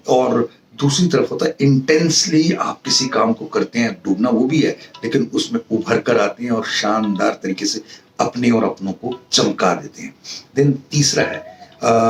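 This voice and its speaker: A man speaks as a motivational teacher, earnest and halting, with a mid-pitched voice. He sits back from the mic in a roomy space, with music underneath. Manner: earnest, didactic, halting with pauses and repeated phrases. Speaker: professor giving a motivational talk. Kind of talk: motivational public-speaking lecture, mixing in English terms. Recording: roomy, distant mic, faint music behind.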